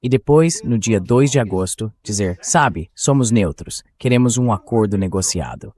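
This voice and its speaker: A man's voice: fairly low pitch, expressive, normal speed, darkish tone. A synthetic male voice dubbing an interviewer with a challenging, questioning manner in a political discussion.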